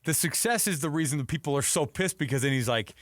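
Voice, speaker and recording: A man's voice: on the high side, expressive, quick pace, no echo, studio quality. A man co-hosting a sports radio show with casual, sarcastic banter and a joking manner. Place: radio studio.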